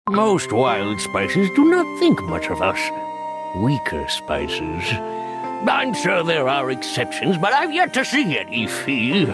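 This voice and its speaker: A man playing a wary village elder in a game cartoon, gentle but firm, weary and cautioning in a husky voice. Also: medium pitch, huge pitch swings, slow, music in the background. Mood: worried warning.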